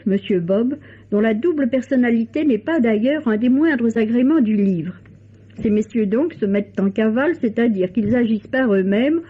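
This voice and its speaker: Woman reading a book review, kinda high voice, very tinny like an old phone line. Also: husky. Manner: precise, formal reading. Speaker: book critic. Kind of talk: scripted radio book review. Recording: tinny old radio recording.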